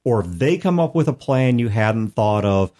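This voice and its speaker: A man hosting a talk show about tabletop gaming, explaining things in an articulate, conversational way with measured, emphatic phrasing. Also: mid-range voice, lively intonation, dark tone. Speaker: podcast host. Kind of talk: conversational advice on tabletop game mastering.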